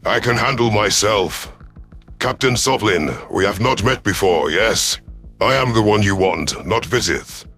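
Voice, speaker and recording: A man plays a massive reptilian alien warlord in a sci-fi audio story. The voice is low, with formal, clipped, taunting lines and a weary air of command. Music plays clearly underneath. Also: lively intonation, a little slow, fairly bright tone.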